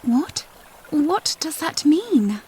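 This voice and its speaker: An actress playing a young, inquisitive princess in an audio drama, speaking in an earnest, questioning way. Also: female voice, high voice, big pitch swings, drawn-out words, a little mellow, a little hiss or hum, heavily acted. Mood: curious.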